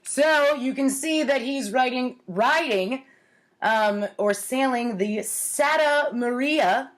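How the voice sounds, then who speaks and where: Woman in her 40s doing a jokey storytime vlog, kinda high voice, takes her time, wry and mock serious. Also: slow pace. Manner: wry, playfully mock-earnest. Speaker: host of a storytime series. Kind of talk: casual comic storytime vlog, commenting on own childhood writings. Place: home kitchen, indoors.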